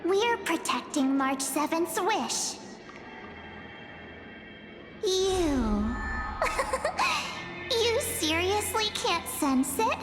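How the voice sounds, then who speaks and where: A woman voicing a cute, childlike memory sprite in a video game, earnest and pleading, with a squeaky voice. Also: very animated, drawn-out words, long pauses, exaggerated voice. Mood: earnest, insistent. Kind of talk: game voice acting, dramatic dialogue. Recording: long echoey reverb, music behind.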